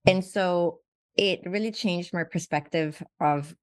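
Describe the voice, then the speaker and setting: A woman's voice: lowish voice, slow, dry recording. A woman in her sixties from Sweden, earnestly telling her life story as a podcast guest, often restarting and repeating words. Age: 60s. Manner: earnest, reflective, frequent restarts and repetitions. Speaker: guest physician. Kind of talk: conversational storytelling.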